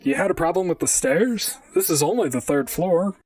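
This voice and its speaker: A man's voice: high voice, wildly swooping pitch, normal speed, vocal fry, a little mellow, no echo, far from the mic, full character voice. A man playing an earnest, inquisitive robot private detective in an audio drama. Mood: bemused. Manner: earnest, questioning, narrates his own cases. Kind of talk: audio drama acting and narration.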